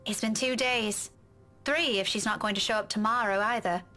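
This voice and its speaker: An actress with a British accent playing a feisty, caring young woman in a visual novel, direct and expressive. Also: medium pitch, moderate pace, faint music behind, lightly acted. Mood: concerned. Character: feisty, caring young woman teasing her old friend.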